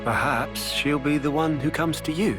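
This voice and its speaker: An actor playing a thoughtful, gentle, wise leader in a video game cutscene. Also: male voice, fairly high pitch, normal speed, loud music behind the voice, close to an everyday voice. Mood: reflective, advising.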